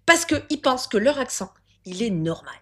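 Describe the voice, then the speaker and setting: A stubborn, self-assured clown lady, played by a woman with a thick odd accent and rolling R, sitting high in her voice. She swings her pitch up and down wildly, repeating herself and flipping every point back on the other person. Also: sing-song, normal speed. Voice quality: rolled R, strongly marked accent. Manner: insistent, repeats herself, turns arguments around. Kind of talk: comic clown sketch interview.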